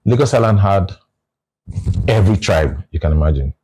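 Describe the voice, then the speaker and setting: A Nigerian man talking through his views in a relaxed conversation, often restarting his phrases. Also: sits low, normal speed, husky. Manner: reflective, restarts phrases, explains with examples. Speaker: guest. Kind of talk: casual conversation.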